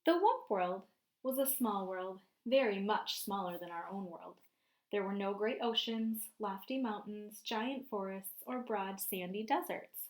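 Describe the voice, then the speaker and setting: A woman teacher reading a picture book aloud to young children, expressive and often prompting her listeners with questions. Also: mid-range voice, unhurried.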